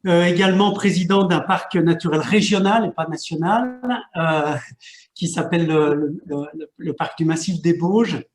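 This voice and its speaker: A man with a fairly high voice presents to an online panel, stopping often to repeat words as he searches for the next one. His voice rings off the walls of an echoey room. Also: moderate pace. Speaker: mayor and regional park president.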